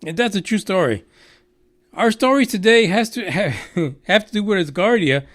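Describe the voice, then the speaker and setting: A man's voice: sits high, expressive, moderate pace. A Texan man hosting a radio news show, rambling and mocking with plenty of swearing and filler words. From Texas. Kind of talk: profane rambling news commentary.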